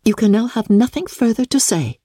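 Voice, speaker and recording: Casting: composed but defiant young lady of a classic storybook read by a woman, dignified, mellow tone, lively intonation. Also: fairly high pitch, fairly quick, breathy edge, no echo, voice pushed up. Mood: resentful, firm. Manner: firm, formal, dignified. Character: resolute, resentful young gentlewoman, composed and defiant. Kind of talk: dramatic classic novel reading.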